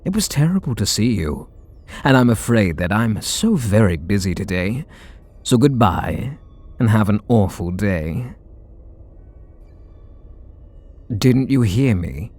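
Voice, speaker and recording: A brooding male super villain speaks in a fairly low voice, acted out by a man. He is sardonic and hides a deep devotion. The delivery is scripted ASMR roleplay, with dramatic pauses. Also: a little mellow, heavily acted. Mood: sardonic, dismissive.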